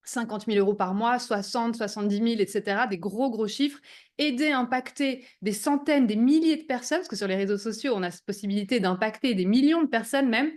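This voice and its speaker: A young woman from Switzerland, a business coach, giving an energetic online workshop over a video call and mixing English business words into her talk. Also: on the high side, fairly quick. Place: remote video call from abroad.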